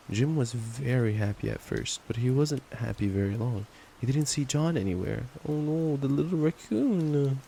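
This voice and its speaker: A man's voice: mid-range voice, creaky, warm tone. Young guy doing boyfriend asmr bedtime reading, playful n stumbly, rainy noise behind him. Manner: affectionate, playful, stumbling reader given to giggly asides. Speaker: ASMR roleplay performer playing a boyfriend. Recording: noisy track with ambient rain sounds. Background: rain ambience.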